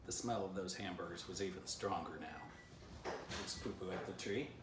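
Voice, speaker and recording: A man with a fairly deep voice reads a children's storybook in an animated, expressive way. The recording is roomy and echoey, picked up a little away from the microphone. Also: moderate pace. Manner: animated, showing illustrations between passages. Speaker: children's author reading their book aloud. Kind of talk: expressive storybook read-aloud with casual asides. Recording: roomy echo, distant mic, faint noise.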